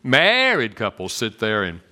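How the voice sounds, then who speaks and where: A man with a Southern US accent giving an animated, joking church talk on marriage to an audience. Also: unhurried. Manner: animated and joking, swoops in pitch. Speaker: speaker on marriage.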